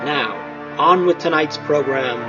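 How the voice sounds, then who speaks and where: A man's voice: on the high side, slow. A man narrating as a dramatic old-time radio announcer. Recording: dull lo-fi sound with faint music.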